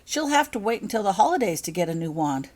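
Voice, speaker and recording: A woman's voice: medium pitch, very animated, quick pace, a little mellow. A woman voicing a blunt, sceptical schoolboy in a storybook, matter-of-fact and objecting. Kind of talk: storybook character voice.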